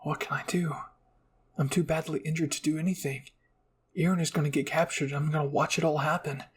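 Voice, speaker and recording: A man's voice: on the high side, steady tone, a little fast, a little hiss or hum, close to an everyday voice. A man voicing the inner thoughts of a wounded young girl fighter, anguished and determined, in a dramatic fan fiction. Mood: despairing. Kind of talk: first-person inner monologue, ASMR fan fiction.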